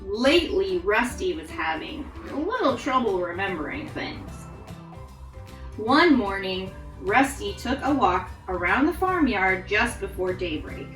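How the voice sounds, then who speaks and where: A woman hosting storytime, reading picture books aloud to children in an expressive, encouraging way. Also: medium pitch, unhurried, reverberant, far from the mic, soft background music, rough recording. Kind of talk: animated read-aloud to children.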